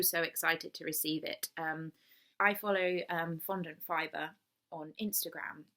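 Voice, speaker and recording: Young woman vlogging at her desk, bit low-pitched, lots of ums, getting over a cold. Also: a bit bright. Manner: chatty, enthusiastic, frequent ums. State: recovering from a cold. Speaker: knitting vlogger. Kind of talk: casual chat to camera. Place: small indoor room.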